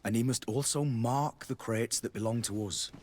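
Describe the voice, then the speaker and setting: An actor playing a worldly, chatty army quartermaster in a video game, a man with a husky voice. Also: medium pitch, normal speed, natural voice. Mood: matter-of-fact. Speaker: military quartermaster.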